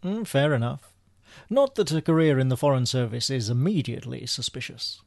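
An actor playing a dry, wry British gentleman spy with an understated manner in a radio comedy-mystery. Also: mid-range voice, brisk, vocal fry, dry recording, close to an everyday voice. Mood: musing.

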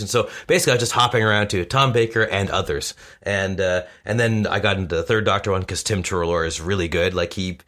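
Low, bright-edged man guesting on a podcast. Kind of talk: casual podcast chat.

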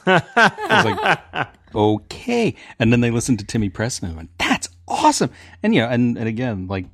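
A man chatting casually on a panel, telling stories in an animated way and acting out people's reactions. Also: medium pitch, normal speed, no room sound. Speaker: podcast panelist and radio drama writer.

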